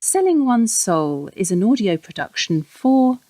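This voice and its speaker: A woman's voice: on the high side, big pitch swings, unhurried, dark tone, dry recording. A woman announcer reading scripted credits for an audio production.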